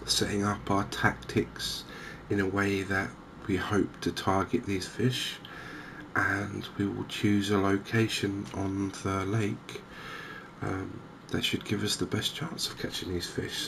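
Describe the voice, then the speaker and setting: Softly spoken man, low and creaky. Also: little pitch movement, noisy background. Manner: hesitant, unhurried, gentle. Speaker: host of a cozy gaming channel. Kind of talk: softly spoken ASMR game commentary.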